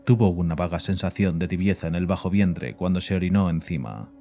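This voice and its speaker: A man serves as narrator of horror audio fiction. His voice is low-pitched, reading scripted prose over a faint musical bed. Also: brisk, warm tone, soft background music.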